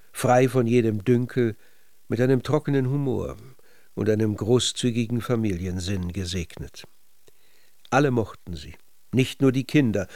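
Measured male radio documentary narrator. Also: medium pitch, darkish tone. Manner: measured, literary delivery.

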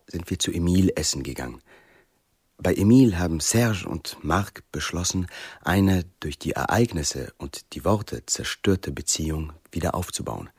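A man's voice: low voice, husky, no room sound, clean studio sound, full character voice. An actor playing a sensitive, brooding middle-aged man in a radio play. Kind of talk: radio play acting and monologue.

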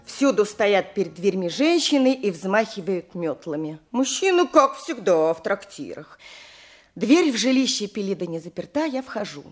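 A woman's voice: medium pitch, big pitch swings, moderate pace, faint music behind. An actress playing a chatty, worldly-wise married peasant woman in an audio drama, talking in a matter-of-fact, gossipy way. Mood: confiding, wry. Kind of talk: theatrical audio drama with first-person narration.

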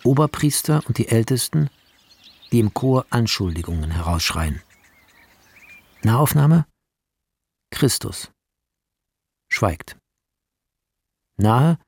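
Man, scripted scene narration, creaky and rough-edged, clipped directions, measured. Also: mid-range voice, very animated, a little mellow.

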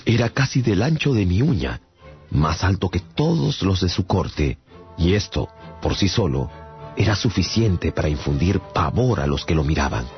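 A man's voice: deep voice, big pitch swings, moderate pace. An actor playing a bemused shipwrecked traveller, telling his adventures in a theatrical, wry radio-drama narration. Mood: wry, reflective. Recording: dull lo-fi sound with music behind. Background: music.

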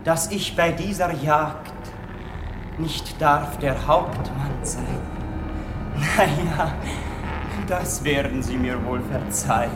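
A defiant, vengeful outlaw poet declaims rhymed verse in theatrical style. The delivery is very slow and heavy with scorn. The voice rings in a roomy echo with music clearly behind it. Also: male voice, a little high. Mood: sardonic. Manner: declamatory, slow, from hushed to shouted. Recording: echoey recitation with music.

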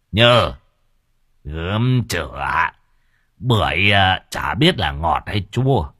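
A man's voice: fairly low pitch, pushed and bright, breathy edge, no echo, studio quality, exaggerated voice. A man playing a lecherous old village official who drawls and leers. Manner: drawling, leering, drawn-out words.

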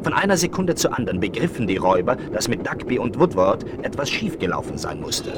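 A man's voice: lowish voice, very animated, fairly quick. A man giving dramatic scripted narration in a 1970s western radio play. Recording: noisy vinyl, loud train sound behind.

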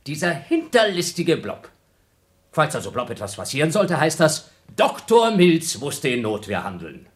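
A man's voice: fairly high pitch, wildly swooping pitch, moderate pace. A man playing an impulsive, sarcastic astronaut in a German radio play, arguing and accusing his crewmate. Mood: mocking outrage. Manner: argumentative, sarcastic, swinging from muttering to exclaiming.

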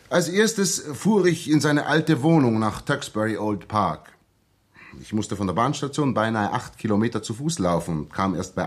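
An actor in a 1960s German radio drama playing an earnest young former soldier, polite but insistent. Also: male voice, fairly low pitch, husky. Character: earnest, persistent young ex-soldier seeking his friend.

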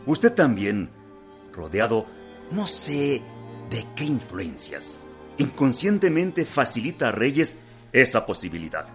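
Earnest, animated male political leader. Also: mid-range voice, faint music behind. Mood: reproachful, urgent. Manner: formal, emphatic, measured oratory. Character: earnest, indignant male revolutionary political leader, formal and insistent. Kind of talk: historical radio drama acting.

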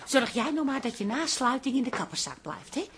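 An actress playing a bossy, scheming, chatty café barkeeper in a radio drama, with brisk, wheedling banter. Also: female voice, sits high, big pitch swings, a bit bright, slight background noise. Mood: conspiring.

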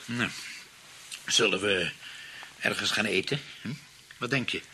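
An actor playing a grasping, snobbish nephew, fretful and hesitant, in a radio play. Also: male voice, on the low side, unhurried, pushed and bright, a little hiss or hum. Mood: relaxed.

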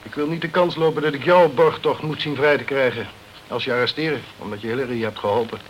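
An actor playing a sceptical, self-protective British consul in a crime radio play, with a condescending, chummy, blustering way of talking. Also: male voice, medium pitch, steady tone, quick pace, slight background noise, natural voice, low-quality recording. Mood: indignant.

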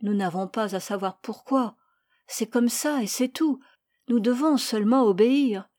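A woman's voice: fairly high pitch, measured pace, dark tone, close to an everyday voice. A woman voicing a kind but stern father who answers his son in a firm, insistent way.

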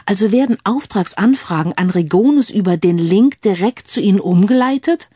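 A man's voice: high voice, expressive, moderate pace, warm tone, husky, no echo. A woman reader plays an eager male amateur sleuth in a crime audiobook. He is keen and easily amazed, questioning his friend. The recording is dry and intimate. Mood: astonished.

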